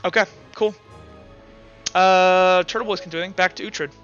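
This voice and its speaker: Man, very bright voice, tabletop game narration, casual, profane, muffled online audio, music behind. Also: high voice, fairly even intonation, normal speed. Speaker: game master.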